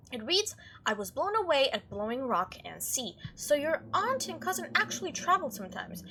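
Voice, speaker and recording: A woman's voice: fairly high pitch, a bit bright, slight background noise. A woman hosting a gaming playthrough, chatting and reacting aloud as she reads the game's text. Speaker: let's play host. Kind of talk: gaming commentary mixed with reading visual novel text aloud.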